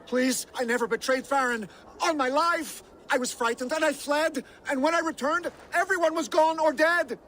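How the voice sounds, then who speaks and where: A man's voice: very high voice, moderate pace, pushed and bright, slight background noise. An actor playing a sly, cowardly male merchant in a video game cutscene, with a wheedling, pleading salesman's patter. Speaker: merchant character.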